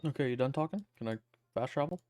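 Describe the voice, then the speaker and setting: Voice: mid-range voice, animated, fast talker, a little mellow. A streamer giving casual, offhand live game commentary with sweary asides. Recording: dry voice over game music.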